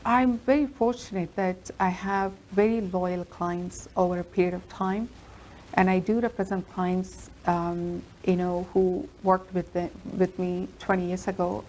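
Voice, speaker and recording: A woman lawyer, a native Telugu speaker, answering interview questions in an earnest, steady storytelling manner. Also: lowish voice, measured pace, a little hiss or hum, rough recording. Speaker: immigration lawyer.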